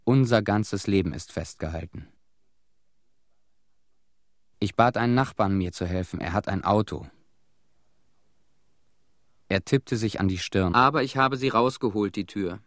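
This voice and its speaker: An actor playing a haunted, quietly bitter middle-aged family man, giving deliberate testimony in a radio play. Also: fairly low pitch, no room sound, studio quality, close to an everyday voice. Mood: agitated, bitter.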